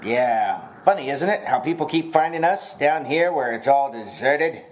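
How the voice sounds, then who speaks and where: A man's voice: mid-range voice, expressive, moderate pace, slight background noise, natural voice. A man playing a tough, wary, wisecracking gang boss in a hard-boiled noir radio comedy, speaking tersely. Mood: resigned, suspicious.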